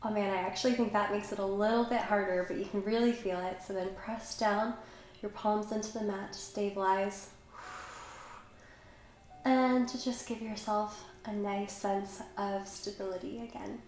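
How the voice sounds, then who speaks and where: A young woman with a slight cold, calmly and encouragingly guiding a Pilates workout while she does the moves herself. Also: medium pitch, normal speed. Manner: calm, encouraging, talks while exercising. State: has a cold, slightly stuffy. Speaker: pilates instructor. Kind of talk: guided workout instruction. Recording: very echoey room, faint background noise.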